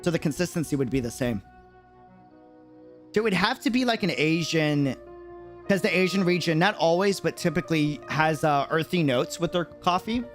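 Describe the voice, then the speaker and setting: A man's voice: on the high side, lively intonation, normal speed. A man chatting casually and musingly on a game livestream in slangy speech, with game music behind him. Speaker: game streamer.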